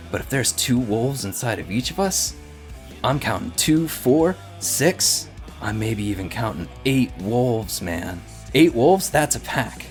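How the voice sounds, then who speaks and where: A man's voice: mid-range voice, close to an everyday voice. A man playing an earnest, swaggering dude hero who makes slangy, rambling bro speeches. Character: earnest, swaggering dude hero pitching wolf-pack solidarity. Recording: music behind.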